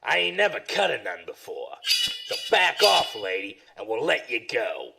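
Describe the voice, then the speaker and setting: A gruff rebel henchman, acted by a man, with a heavily gravelled, forceful voice. He talks rough and slangy like an audio drama thug, swinging wildly in pitch, over a faintly noisy recording. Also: wildly swooping pitch, unhurried, full character voice. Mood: gruff, threatening.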